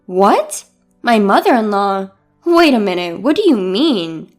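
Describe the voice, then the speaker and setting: A woman's voice: mid-range voice, very animated, unhurried, soft background music, voice pushed down. A woman voicing an indignant young bride who protests incredulously in a dramatized story. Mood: baffled. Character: indignant young bride standing her ground.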